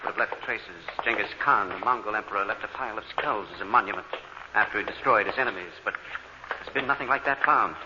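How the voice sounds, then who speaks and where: An actor playing an earnest, scholarly scientist who muses in measured, articulate phrases in an old science fiction radio drama. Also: male voice, on the low side, long reverb tail, lightly acted. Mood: musing. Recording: muffled, noisy old radio recording.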